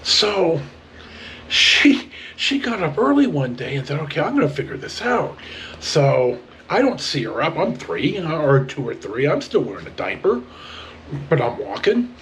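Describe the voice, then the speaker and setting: An American man telling casual personal stories to camera as a vlog host, in an animated, halting, rambling way. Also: a little high, slight background noise. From northern California, United States.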